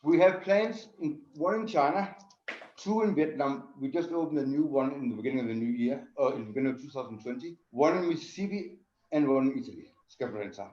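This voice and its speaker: A man's voice: mid-range voice, brisk, a little mellow. A middle-aged man from Denmark explaining his work as an interview guest. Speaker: interview guest, tannery sustainability director. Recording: roomy, dull lo-fi sound.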